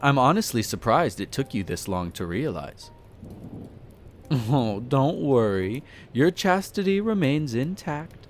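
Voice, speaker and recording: A man's voice: medium pitch, very animated, unhurried, a little hiss or hum. A man playing a suave, flirtatious aristocratic vampire who talks to the listener with amused, haughty condescension in a roleplay audio drama. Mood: flirtatious, reassuring. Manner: theatrical, leisurely storytelling with teasing, haughty, formal wording.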